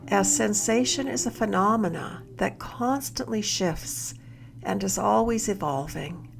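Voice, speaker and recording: A woman guides a body scan meditation at a very slow, unhurried pace. Her voice sits at a medium pitch with noticeable creak, and she instructs gently, letting phrases fall away softly. A faint noise sits behind her. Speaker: mindfulness mentor leading a body scan.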